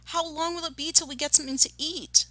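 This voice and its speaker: A hungry little storybook fox cub, put on by a woman. The voice is lifted high and bright, whining and pleading like a small child. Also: steady tone, quick pace.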